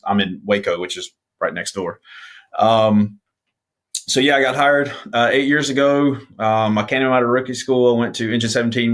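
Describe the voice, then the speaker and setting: A man's voice: lowish voice, a bit bright. A man, a firefighter, introducing himself in a hesitant way with many ums. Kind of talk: casual interview.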